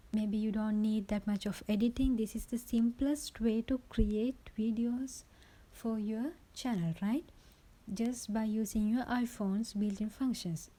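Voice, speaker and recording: A woman's voice: medium pitch, mellow, rough recording. A woman presenting an informal how-to voice-over recorded on a phone's built-in microphone, explaining step by step and often checking in with the listener. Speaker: tutorial presenter.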